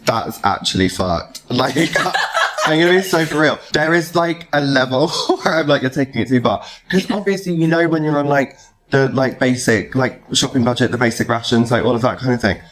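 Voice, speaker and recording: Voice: medium pitch, normal speed, noisy background. A podcast host, chatting casually and emphatically with guests. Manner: animated, emphatic. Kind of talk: casual interview chat.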